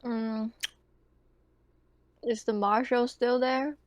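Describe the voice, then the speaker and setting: An unhurried woman on a game-night voice call, close and dry, feeling her way toward each move. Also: fairly high pitch, steady tone, no room sound, highs cut off. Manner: tentative, halting. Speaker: player in a tabletop game. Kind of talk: casual chat. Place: online voice call.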